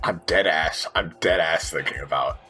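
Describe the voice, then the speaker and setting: A man's voice: a bass voice, normal speed. A man playing a tabletop game, thinking aloud and describing his character's moves in colloquial, profane speech. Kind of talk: casual game chat and narration. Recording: music under the voice. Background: background music.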